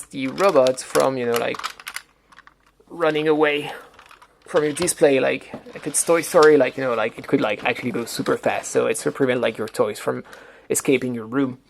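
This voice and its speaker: A man's voice: medium pitch, moderate pace, gravelly, a little hiss or hum. A man with a French accent and a rambling, hesitant delivery full of "like", giving a casual show-and-tell review at home. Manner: rambling, hesitant, heavy use of "like". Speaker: toy reviewer.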